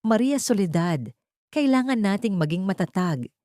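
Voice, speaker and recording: A weary old grandfather speaks gently and gravely in an audio tale, as a woman reader puts him on. The recording is dry, with no room around the voice. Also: medium pitch, animated, normal speed, no room sound, natural voice. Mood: calm, sad.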